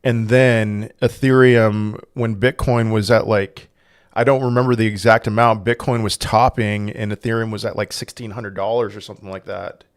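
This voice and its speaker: A man's voice: on the low side, steady tone, moderate pace, clear, resonant tone. A man hosting a casual crypto livestream, reading chat questions aloud and answering them in a rambling way with frequent restarts. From Portugal. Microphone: desk microphone.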